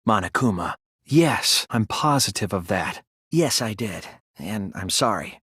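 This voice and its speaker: Casting: a thoughtful teen boy sleuth for a game dub; earnest, deliberate, slightly breathy, very dry close sound. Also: on the low side, expressive, pushed and bright, no echo, exaggerated voice. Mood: quiet, subdued. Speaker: video game voice actor. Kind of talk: English video game dub, dramatic deduction dialogue.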